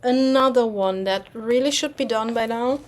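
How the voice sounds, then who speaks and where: A woman's voice: on the high side, normal speed. German woman chatting casually about stitching. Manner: rambling, self-deprecating, searches for words. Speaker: cross-stitch vlogger. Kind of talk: casual chat.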